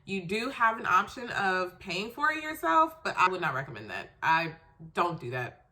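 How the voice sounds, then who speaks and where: A woman's voice: on the low side, very animated, moderate pace, bright, forceful tone. Stuffy, nasal woman with a cold. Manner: chatty, emphatic, numbered tips. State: congested from a cold. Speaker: resume writer giving career tips. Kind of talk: casual how-to advice video.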